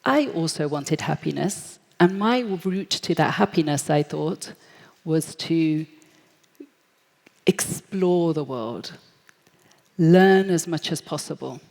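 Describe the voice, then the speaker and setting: British woman in her thirties, low gravelly voice, storytelling speaker, big echoey hall. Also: slow pace, long pauses. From Britain. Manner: lively storyteller, acts out quoted voices. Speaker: speaker at a forum. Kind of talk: storytelling talk. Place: large hall. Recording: echoey hall, long reverb.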